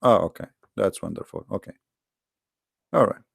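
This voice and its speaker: A man's voice: lowish voice, expressive, moderate pace, creaky, husky, dry recording, clean studio sound. A man hosting an argumentative debate, challenging and mocking his caller with repeated questions. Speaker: debate host.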